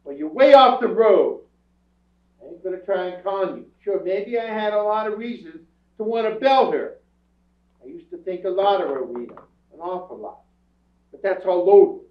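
A man playing a defensive, self-pitying, streetwise bum under questioning in a staged radio crime drama, protesting in halting, ungrammatical speech. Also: high-pitched, animated. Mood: wounded, pleading. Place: theatre stage before an audience. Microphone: far camera. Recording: roomy theatre stage from far off, muffled.

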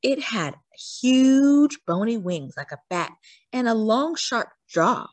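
A woman reading a children's picture book aloud in an expressive storytelling manner, drawing out words for emphasis. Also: on the high side, no echo. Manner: animated storytelling with drawn-out emphasis. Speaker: storytime reader.

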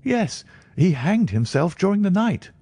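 A man voicing a frantic, high-strung middle-aged doctor in a storybook reading. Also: very animated, unhurried, a little mellow, husky, dry recording, exaggerated voice. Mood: distraught. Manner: breathless, high-strung, wailing.

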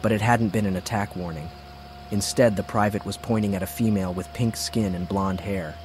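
A man's voice: fairly low pitch, fairly even intonation, brisk, background noise. A man reading scripted fiction as an audiobook narrator.

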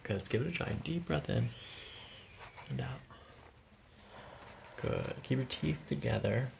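Creaky-voiced male chiropractor giving soothing cues. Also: medium pitch, a little slow, a little mellow. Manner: soothing, repetitive short cues. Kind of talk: quiet hands-on instruction to a patient, ASMR. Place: small carpeted treatment office. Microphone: boom-arm microphone near the treatment table. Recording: noisy room recording with clicks.

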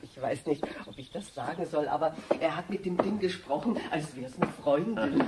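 A woman's voice: low voice, normal speed, heavy background noise, natural voice. An actress playing a talkative, fretful guesthouse landlady in a German radio drama. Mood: hesitant, confiding. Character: chatty, fretful female country guesthouse keeper.

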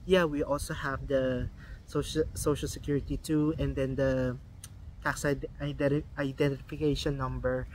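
Filipino guy vlogging, kinda high voice, lots of ums and restarts, bit of noise behind. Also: rough recording. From Philippines. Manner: halting, many ums and restarts. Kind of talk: casual vlog talk.

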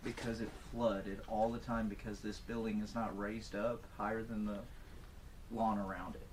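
A man's voice: lowish voice, flat delivery, moderate pace, a crowd in the background. A man talking casually as a local guide, showing people around on a tour. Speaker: local guide to an abandoned building. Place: abandoned building, outdoors at the start. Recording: noisy location sound.

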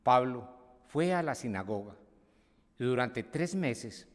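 Older man, scripture reader, very slow careful delivery, church lectern, long reverb. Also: medium pitch, animated. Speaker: lay reader. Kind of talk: reading scripture aloud.

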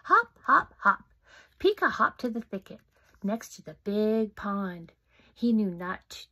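A middle-aged woman reading her own children's book aloud at home, interactive, with soft, often whispered asides to young listeners. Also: medium pitch, slightly rough, low-quality recording. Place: home room, indoors. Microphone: phone camera close up.

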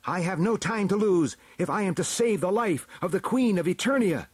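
Storybook false hero, played by a man: male warrior double, insistent and scheming, loud, pitched well up. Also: expressive, normal speed, husky, dry recording. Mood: insistent, demanding. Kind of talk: storybook character acting.

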